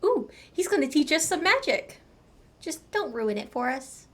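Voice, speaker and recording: High-voiced woman streaming a game. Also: big pitch swings, normal speed, fairly bright tone. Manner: playful, reacting to the game. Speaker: gaming streamer. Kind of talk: casual playthrough commentary. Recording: faint game music behind.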